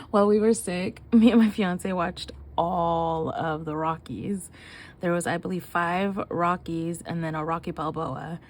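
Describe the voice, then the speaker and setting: A woman's voice: on the low side, fairly even intonation. A young woman vlogging about her budget as she counts cash aloud, sounding nasal and short of breath from illness. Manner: counts bills aloud in quick chatty bursts. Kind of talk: casual chat while counting cash.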